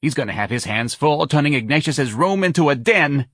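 A man voicing a loud, bossy older woman with a New Orleans accent, brash and pushy in an audiobook story. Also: fairly high pitch, lively intonation, brisk, no echo, studio quality, voice pushed up. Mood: eager, bossy.

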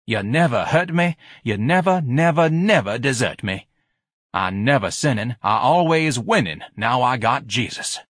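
Audiobook role: devout worker choir, sung out by one male narrator, slow, melodic, compulsive, fervent chanting. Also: medium pitch, animated, a bit bright, breathy edge, dry recording, clean studio sound, pitched above the performer's own voice. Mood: fervent, rapt.

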